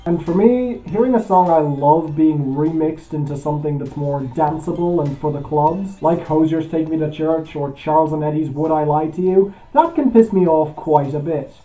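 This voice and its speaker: A man from Belgium reads a scripted album review with opinionated, animated emphasis. His voice sits a bit high but sounds very dark and mellow. The audio is slightly muffled and lo-fi. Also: moderate pace, few pauses. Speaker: music album reviewer, show host.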